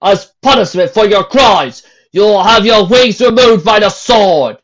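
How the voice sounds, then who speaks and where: A man voicing a cruel male pony legion captain who booms commands slowly and theatrically. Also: fairly bright tone. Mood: commanding. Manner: booming, commanding, slow and theatrical. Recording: dull lo-fi home recording.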